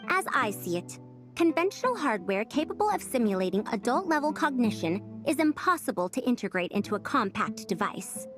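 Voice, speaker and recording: A composed young woman scholar from a video game, with a high, lilting voice. She lectures articulately and with self-assurance, with music playing behind her. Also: very animated, brisk. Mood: thoughtful.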